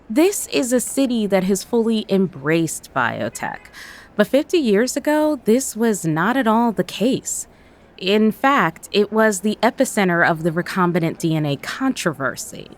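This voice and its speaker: A woman hosting a podcast, reading scripted narration with a clear storytelling delivery. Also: mid-range voice. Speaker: podcast host.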